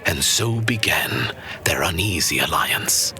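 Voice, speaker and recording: An actor playing a solemn storyteller who recounts an ancient fantasy legend in a breathy, hushed voice. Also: male voice, deep voice, expressive, slow, exaggerated voice. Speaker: narrator.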